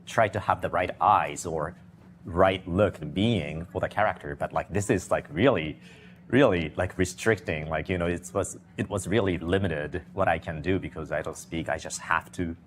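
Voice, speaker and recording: A man with a very deep voice answers as an interview guest. He searches for words in English and leans on you know and like. A faint noise hums behind him. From Japan. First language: Japanese. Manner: halting, many you knows and likes. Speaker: actor guest. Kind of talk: casual interview talk.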